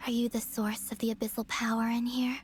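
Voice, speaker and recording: A woman plays a young moon goddess from a video game, her voice fairly high and soft. She is gentle but guarded, questioning with quiet reserve over faint music. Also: flat delivery, fairly quick, natural voice. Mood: curious, cautious.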